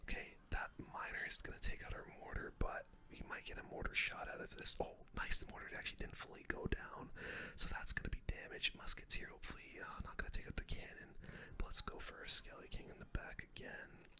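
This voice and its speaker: A person doing gameplay commentary in a breathy, unvoiced ASMR whisper, using casual gamer slang. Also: fairly quick. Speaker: gaming streamer, commentator.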